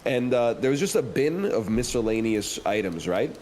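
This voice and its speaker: A man in his thirties with a deep voice, telling stories in a calm, flat, deadpan way during a casual chat. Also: moderate pace, slight background noise, poor audio. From Canada.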